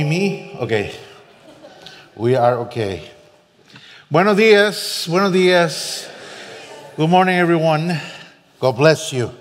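A Costa Rican man, Spanish his first language, gives a sermon in accented English. He speaks slowly with a slightly raised pitch and keeps restarting his phrases in an earnest, self-deprecating way. His voice rings with the long reverb of a church hall. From Costa Rica. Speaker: guest preacher. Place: church sanctuary.

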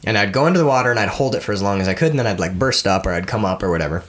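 A man's voice: lowish voice, expressive, rapid-fire, a bit bright. A young man with a congested, stuffed-up voice, co-hosting a casual podcast and rambling through a story with laughs. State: congested from a cold. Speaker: podcast co-host. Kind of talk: casual chat.

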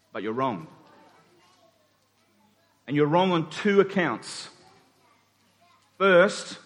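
A man in his forties preaching a sermon, speaking rhetorically with emphatic points and dropped asides. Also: on the high side. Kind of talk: sermon to a congregation. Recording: long hall reverb.

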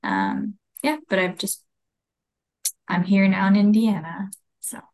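A young woman who grew up in California speaks in an easy, understated way, with a middle pitch. She talks as a guest over a video call, laid back and relaxed. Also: slow. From California, Bay Area. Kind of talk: casual interview chat.

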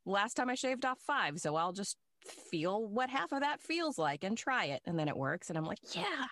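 A woman's voice: mid-range voice, normal speed, dry recording, studio quality. A woman who works as a voice actor, chatting casually and acting out quoted lines with frequent laughs. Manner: animated, acts out quotes, laughs often. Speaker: working voice actor. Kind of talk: casual podcast chat.

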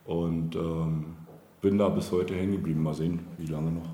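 A middle-aged man from East Germany giving reflective, conversational interview answers as a local politician, halting and searching for words. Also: low-pitched, fairly even intonation, mellow. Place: indoor room, face-to-face over coffee. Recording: echoey room with faint background noise.

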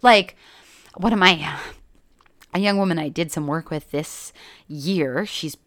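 A woman's voice: low-pitched, takes it slowly, fairly bright tone, dry recording. A woman hosting a podcast, talking candidly and bluntly, swearing freely, with self-deprecating asides. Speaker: podcast host. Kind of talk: candid personal monologue.

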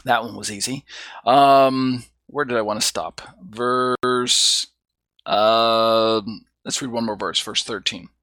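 A man's voice: mid-range voice, steady tone, slow, sharp tone, no room sound, studio quality. A middle-aged man teaching an informal Bible study lesson, stumbling over words with plenty of fillers and apologizing for his own mistakes. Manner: self-deprecating, stumbling over Greek readings, frequent fillers.

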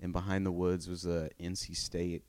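A man's voice: low voice, completely flat delivery, moderate pace, darkish tone, clear, resonant tone. A young man from North Carolina, a comedian guest telling rambling, laid-back stories in a casual podcast chat. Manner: rambling, laid-back storytelling with many fillers.

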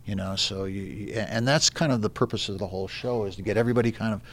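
A man who is a stage actor, chatting casually in an interview with halting, repeated words. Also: lowish voice, steady tone, slight background noise. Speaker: stage actor playing Santa.

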